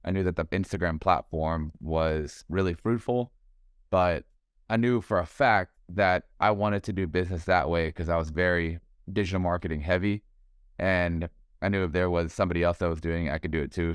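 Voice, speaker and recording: Deep-voiced young man in easy chat. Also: clean tone, dry recording, clean studio sound. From Thailand. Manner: relaxed, talkative, calls the host bro and man. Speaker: podcast guest, realtor. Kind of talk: casual interview chat. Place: home. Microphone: video call.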